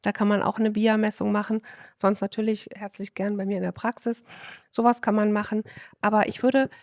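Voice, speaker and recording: An emphatic woman speaking as a doctor on a podcast, explaining at length in a relaxed, chatty way. Her voice is recorded dry and close, with almost no room sound. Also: medium pitch, fairly quick, no echo. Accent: German. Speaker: physician guest. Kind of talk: casual podcast conversation.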